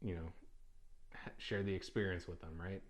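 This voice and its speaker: A man's voice: sits low, fairly even intonation, normal speed. A young adult man hosting a casual solo podcast, talking loosely with many ums, uhs and restarts. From United States. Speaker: podcast host.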